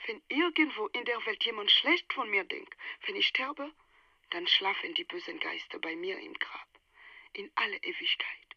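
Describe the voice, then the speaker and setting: Young Nigerian widow in a radio drama: pleading and halting, very lively pitch, sharp bright tone. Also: female voice, gravelly, no echo, lo-fi sound, exaggerated voice. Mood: fearful, solemn.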